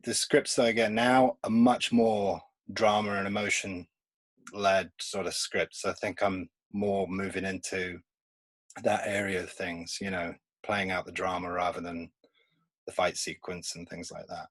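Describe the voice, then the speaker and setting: Man, 50s, husky low voice, dry close sound, rambling and laughing. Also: steady tone, unhurried, dry recording. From England. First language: Polish. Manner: rambling, laughs often between thoughts. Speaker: comics artist guest. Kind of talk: casual remote podcast interview.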